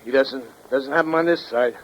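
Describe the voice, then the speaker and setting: A man's voice: mid-range voice, fairly quick, fairly bright tone, lightly acted. An actor playing a blunt, grumbling gangster henchman with slangy tough-guy talk in a 1940s radio drama. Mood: gruff, grumbling. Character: grumbling, slow-witted male gangster henchman. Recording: muffled, hissy 1940s radio recording.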